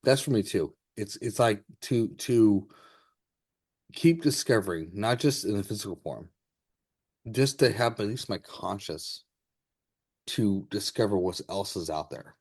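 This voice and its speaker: A man hosting a casual, exploratory interview, talking haltingly and repeating himself as he searches for words. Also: fairly low pitch, animated, a little mellow, slightly rough. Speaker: podcast host.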